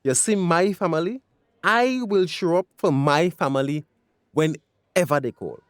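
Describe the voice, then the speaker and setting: A man's voice: on the high side, measured pace, smooth, dry recording, studio quality, close to an everyday voice. A man playing a proud, confrontational estranged husband and father with a Tobagonian accent in a radio drama. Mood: stern, confrontational. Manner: assertive, threatening.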